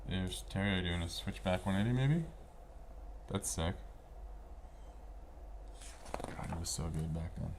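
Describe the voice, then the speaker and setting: Low-voiced man reminiscing, slangy and loose. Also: measured pace, pauses often. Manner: slangy, profane, laid-back. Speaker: podcast guest, veteran pro snowboarder. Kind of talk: casual reminiscing chat. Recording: noticeable background room noise.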